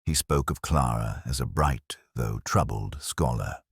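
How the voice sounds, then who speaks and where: A man giving a measured, brooding first-person narration of a detective story. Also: very deep voice, slightly rough, dry recording, clean studio sound. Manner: measured, brooding, literary delivery.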